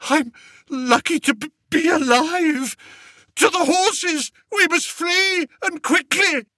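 A man voicing a cowardly, pompous king who wails and stammers in a high, shrill voice. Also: slow pace, pushed and bright, dry recording, clean studio sound, heavily acted. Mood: shaken. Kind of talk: audiobook character voice.

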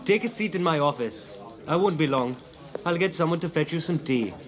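An actor playing a formal, polite wartime officer who becomes flustered, in an audio drama. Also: male voice, a little high, lively intonation, fairly quick, lightly acted.

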